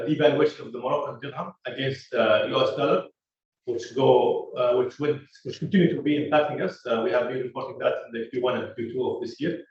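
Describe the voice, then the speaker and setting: A man presenting financial results as a company executive on an earnings call, speaking in a halting, measured way with many hesitant pauses and uhs. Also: mid-range voice. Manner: halting, measured explanation of figures. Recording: echoey, distant, muffled conference call audio.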